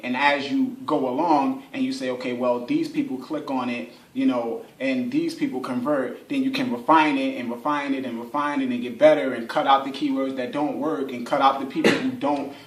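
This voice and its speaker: A man giving an informal workshop explanation as an instructor, conversational, repeating phrases for emphasis and acting out hypothetical examples. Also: mid-range voice. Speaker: workshop instructor, advertising specialist. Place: indoor room at a radio station. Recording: roomy, echoey workshop recording.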